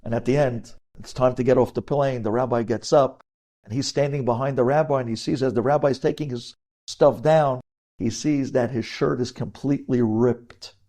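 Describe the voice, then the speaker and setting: A man with a mellow, relaxed tone and a medium pitch delivers a religious lecture as a rabbi. He addresses his listeners directly and stresses his points firmly. Hebrew religious terms are woven through his sermon-like delivery. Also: moderate pace. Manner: emphatic, addresses listeners directly with rhetorical questions. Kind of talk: sermon-like lecture with storytelling and acted-out dialogue.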